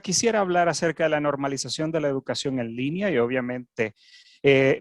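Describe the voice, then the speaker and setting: A Venezuelan man giving a keynote talk at an academic webinar over a video call, with a Venezuelan Spanish accent, often pausing and repeating words as he goes. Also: mid-range voice, no echo, studio quality. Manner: frequent hesitations and repeated words. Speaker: keynote speaker. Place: home office in Canada.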